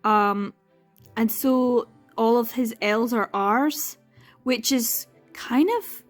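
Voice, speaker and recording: A woman's voice: a little high, slow, soft background music. A young woman in a casual reading vlog, talking through a manga with exasperated sighs, laughs and dramatic repetition. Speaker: book vlogger.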